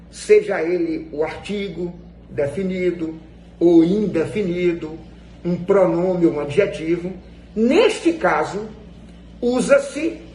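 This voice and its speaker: High-pitched, slow-paced Brazilian man teaching. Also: darkish tone. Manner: didactic, emphatic, repeats phrases for stress. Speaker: Portuguese grammar teacher. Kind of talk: instructional lesson explained to viewers. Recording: echoey room with faint background noise.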